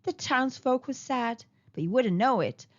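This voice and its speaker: A woman reading a children's story aloud in an expressive storytelling manner. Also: fairly high pitch, a little slow. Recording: dull lo-fi recording.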